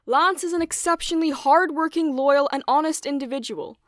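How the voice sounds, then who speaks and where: Higher-pitched woman narrating a video essay. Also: fairly quick, no room sound, studio quality.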